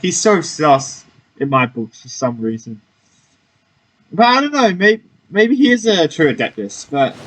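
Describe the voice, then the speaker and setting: Male let's-play streamer: lively, joking, off-the-cuff reactions over quiet game music. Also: high-pitched, poor audio. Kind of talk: casual gameplay commentary.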